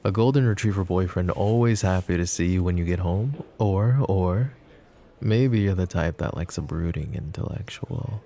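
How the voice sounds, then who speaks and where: Man acting a very deep-voiced, gravelly mafia boss. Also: normal speed, warm tone, a little hiss or hum. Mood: teasing, flirty. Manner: smooth-talking, teasing, pet names, sighs. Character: flirty, possessive mafia boss, teasing yet protective. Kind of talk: roleplay ASMR acting.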